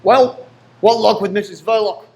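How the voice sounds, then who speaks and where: A man acting a brisk, businesslike senior detective in a radio play. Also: high voice, a little mellow. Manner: businesslike, matter-of-fact.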